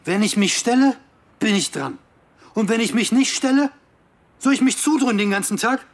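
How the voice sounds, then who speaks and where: Husky, distraught young man. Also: sits high, animated, moderate pace. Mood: despairing, defiant. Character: distraught, guilt-ridden young man, defiant and despairing. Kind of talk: naturalistic German radio crime drama acting.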